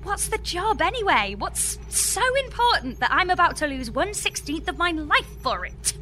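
Actress as fed up lady space engineer, high sharp voice, really animated, radio comedy style. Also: normal speed, slight background noise, heavily acted. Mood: panicked, exasperated. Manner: exasperated, emphatic, dry. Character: exasperated female space engineer, pleading and dry. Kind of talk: audio drama comedy acting.

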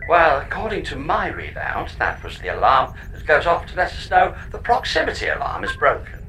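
Bright, animated, glitchy pedantic spaceship computer, acted out. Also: medium pitch, a little fast, slight background noise, exaggerated voice, low-quality recording. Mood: oblivious. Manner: petulant, literal-minded, evasive. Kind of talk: audio comedy drama acting.

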